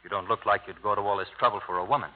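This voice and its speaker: An actor playing a cocky, hard-boiled American lawyer with clipped, wisecracking delivery in a radio drama. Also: male voice, on the low side, fairly even intonation, racing, slight background noise, lightly acted. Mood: cool, sardonic. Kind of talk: 1950s radio drama acting. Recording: tinny old radio broadcast, hall reverb.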